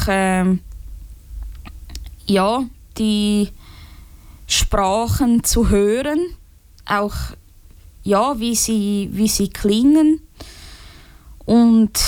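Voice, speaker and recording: A young woman speaking in a group, slow and halting with many fillers and restarts. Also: a little high, a little mellow, clear, resonant tone, dry recording. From Switzerland. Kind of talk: unscripted personal talk.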